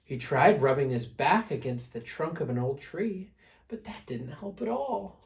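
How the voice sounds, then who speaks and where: A man's voice: on the high side, expressive, creaky. A man reading a picture book aloud to children as a storyteller, with dramatic emphasis. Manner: dramatic emphasis, pauses to prompt listeners.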